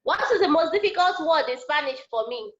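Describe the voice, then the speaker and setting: A woman with a Nigerian accent, a Yoruba speaker, talking casually to a class as a guest teacher, animated and repeating herself for emphasis. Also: sits high, fairly quick. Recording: dull lo-fi video call audio.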